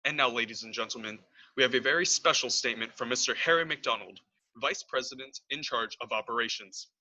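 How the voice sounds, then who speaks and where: Man acting a sharp, bright news announcer. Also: mid-range voice, fairly quick, rough recording. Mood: serious, formal. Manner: formal, scripted. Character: serious male radio news announcer. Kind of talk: radio drama news bulletin reading. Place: room at home. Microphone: headset.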